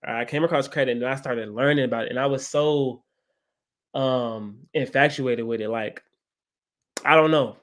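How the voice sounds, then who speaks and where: A man's voice: mid-range voice, normal speed. A man in his twenties telling his story casually as an interview guest, often checking in with a tag question. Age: 20s. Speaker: guest, credit content creator.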